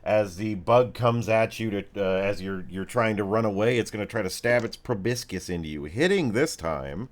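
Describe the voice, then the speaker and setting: Man, low pitch, game master, improvised descriptive narration, informal tabletop chat. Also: animated, moderate pace, hardly any pauses.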